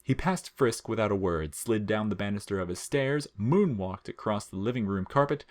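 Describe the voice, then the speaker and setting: A man's voice: on the low side, moderate pace. A man reading scripted fiction aloud as a narrator.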